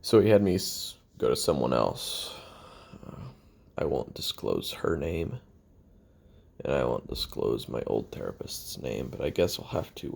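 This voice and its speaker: Sardonic assassin played by a man for confessional audio roleplay: heavy gravel and fry, deadpan, halting delivery that still swings in pitch. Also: exaggerated voice. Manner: halting, deadpan, dryly self-deprecating. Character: weary, sardonic male assassin recording taped memoirs.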